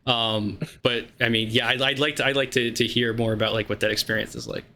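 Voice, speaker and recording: Bright-voiced eager male podcast host. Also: medium pitch, little pitch movement, a little fast, slight background noise. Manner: curious, eager questions with stammered restarts. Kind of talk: casual podcast chat.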